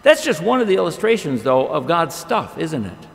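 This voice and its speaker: Middle-aged male pastor, slightly high and gravelly, animated storyteller, podium mic in an echoey chapel. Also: moderate pace. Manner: animated storytelling with wide gestures and rhetorical questions. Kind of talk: chapel sermon. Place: large chapel with long reverb. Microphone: podium microphone.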